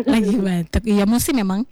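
A woman radio host with a mid-pitched voice. She chats informally and giggles easily with callers, in a very dry, close studio sound. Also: mellow, dry recording. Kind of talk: casual radio call-in chat.

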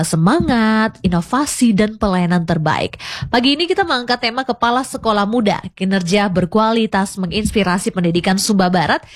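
A woman hosting a live radio talk show, chatty and effusive, with exclamations and giggles. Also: mid-range voice. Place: radio studio.

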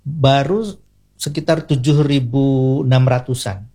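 A man's voice: mid-range voice, steady tone, unhurried, warm tone, husky. A man with an Indonesian accent speaking as an expert guest in an interview, explaining things in a didactic way, point by point, with short tag words. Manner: didactic, enumerating points, often adds short tag particles.

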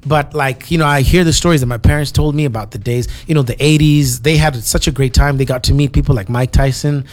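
A young man from Zimbabwe, a musician guest in a relaxed interview, easygoing and full of "you know"s. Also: medium pitch, fast. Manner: easygoing, repeats himself, many "you know"s.

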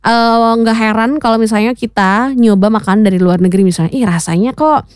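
A woman's voice: on the high side, flat delivery, a little fast, clean tone, no echo, studio quality. A woman presenting casually as a radio host, chatting to listeners with frequent tag questions.

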